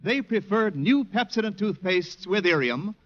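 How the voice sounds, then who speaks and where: A male commercial announcer with a high, lively voice. He reads scripted ad copy in 1940s radio style, on a dull, band-limited vintage recording. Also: measured pace.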